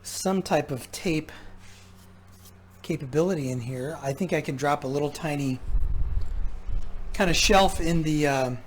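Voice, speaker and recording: A man talking casually and haltingly as he thinks aloud, giving hands-on commentary as a repair vlogger. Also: fairly high pitch, a little hiss or hum. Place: indoor repair workshop.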